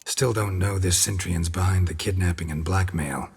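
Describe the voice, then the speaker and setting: An actor playing a calm, menacing monster hunter in a video game, with a husky rasp and terse deadpan delivery. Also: male voice, sits low, normal speed, exaggerated voice. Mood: calm reasoning. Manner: deadpan, terse, clipped sentences. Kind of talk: understated video game acting.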